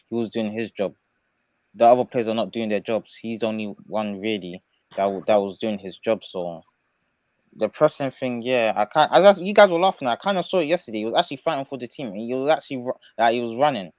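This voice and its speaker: Emphatic low-voiced man arguing football. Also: darkish tone, no room sound. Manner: emphatic, repeats himself when arguing. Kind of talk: casual live-stream chat.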